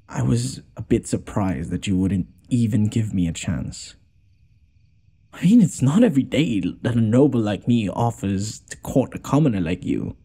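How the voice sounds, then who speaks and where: Man playing a haughty, expressive fantasy noble lord. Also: on the low side, breathy edge, exaggerated voice. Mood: subdued, hurt. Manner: theatrical, sarcastic, exasperated sighing delivery. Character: haughty, wounded young noble lord, sarcastic yet caring. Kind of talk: scripted audio roleplay addressed to the listener.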